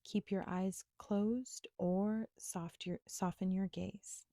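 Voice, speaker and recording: A woman instructor leading a guided meditation in a gentle, soothing, unhurried manner with pauses. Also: mid-range voice, steady tone, no room sound.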